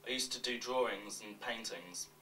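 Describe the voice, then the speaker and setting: Distant man reading, awkward and deadpan. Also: fairly low pitch, normal speed. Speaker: reader of fans' confessions. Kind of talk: reading a scripted monologue of fan confessions. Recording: distant mic, faint background noise.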